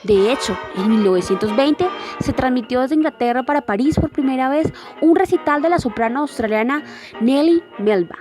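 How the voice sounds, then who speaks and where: Female narrator, higher register, formal read of documentary script, prominent music bed. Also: lively intonation, moderate pace. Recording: loud music behind the voice.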